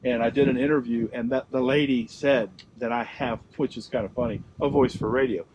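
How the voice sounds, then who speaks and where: Man, mid-pitched voice, easygoing chat, chuckles, heavy outdoor noise, park setting. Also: fairly even intonation, fairly quick. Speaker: channel host recording the session. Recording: loud outdoor background noise.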